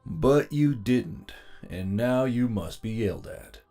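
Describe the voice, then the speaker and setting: Deep robotic cyborg man, voiced by a woman. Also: lively intonation, takes it slowly, mellow, faint music behind, full character voice. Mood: mocking mirth. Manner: sarcastic, curt. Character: giant male cyborg robot man, dry, mocking, metallic.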